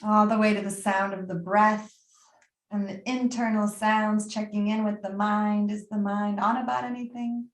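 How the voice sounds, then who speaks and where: A woman leads a guided meditation in a soothing, unhurried manner. Her mid-pitched voice stays very even, with little rise or fall, and phrases trail off into pauses. The sound is noticeably roomy and echoey. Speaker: yoga nidra meditation teacher.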